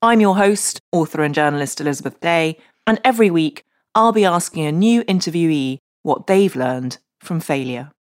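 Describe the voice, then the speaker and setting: A woman hosting a podcast, reading a scripted introduction and then interviewing in a measured, earnest way. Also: sits low. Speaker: podcast host.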